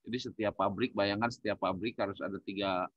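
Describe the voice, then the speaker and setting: A man talking casually in a discussion, haltingly searching for words as he thinks aloud. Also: fairly low pitch, steady tone, no echo, dull audio. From Indonesia. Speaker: panelist.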